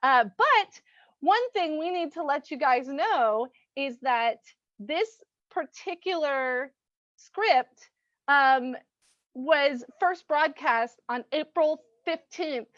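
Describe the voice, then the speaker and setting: A woman's voice: sits high, lively intonation, takes it slowly, a bit bright, dry recording. A woman hosting and casually introducing a live-streamed reading, on dull, dry audio. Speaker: host and announcer.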